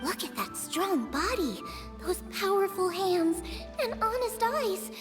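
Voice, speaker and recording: A woman's voice: extremely high pitch, very animated, slow pace, over music, heavily acted. A woman playing a bubbly, earnest teenage girl chef in a video game, perky and enthusiastic. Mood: upbeat.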